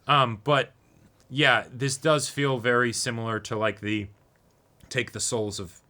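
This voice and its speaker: A man's voice: mid-range voice, fairly even intonation, a little slow, sharp tone. A man co-hosting a reaction show, talking casually and analytically and often checking in with a "right?". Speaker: co-host of an anime reaction show. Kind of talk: casual discussion.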